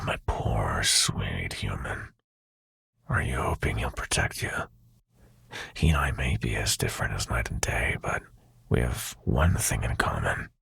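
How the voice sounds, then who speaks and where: A sinister, seductive prince of nightmares murmurs in an extremely deep voice, heavily fried and gravelly. His delivery is slow, breathy and silky, with menacing command. It is an intimate ASMR roleplay performance. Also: a bit bright, no room sound, clean studio sound, heavily acted. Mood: taunting. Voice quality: breathy, gravelly half-whisper.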